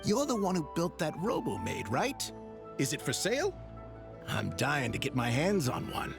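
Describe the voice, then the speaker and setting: Shady male trader in a game, gravelly, wheedling, eager, swooping pitch, game music behind. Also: wildly swooping pitch, moderate pace, heavily acted. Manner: eager, wheedling sales talk.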